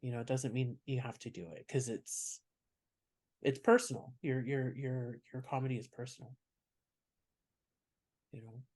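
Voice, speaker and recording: Man, soft voice, reflective, rambling podcast guest, medium pitch. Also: fairly even intonation, normal speed, pauses often. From Canada. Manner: reflective, explains at length. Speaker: stand-up comedian guest. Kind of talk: casual interview chat.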